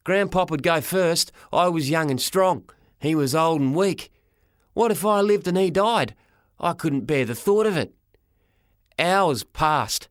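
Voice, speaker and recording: A man narrating a first-person story for an audiobook, dramatic and expressive, in short measured phrases. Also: fairly high pitch, dry recording, studio quality.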